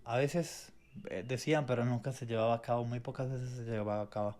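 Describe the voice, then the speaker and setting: A man's voice: mid-range voice, steady tone, a little fast, darkish tone. Earnest Venezuelan-born man sharing testimony. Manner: earnest, reflective, with many restarts and repetitions. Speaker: co-host.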